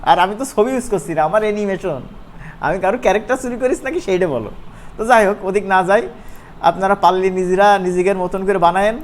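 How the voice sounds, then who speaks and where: A man gives a casual, chatty software tutorial in an informal, colloquial way, often checking in with his listeners. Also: high voice, quick pace. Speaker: animation course instructor. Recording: clear background noise.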